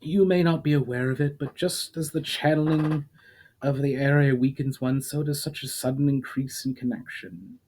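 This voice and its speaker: A man's voice: mid-range voice, moderate pace, a little mellow, slightly rough, voice pushed up. A man reading a kindly old forest spirit. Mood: earnest.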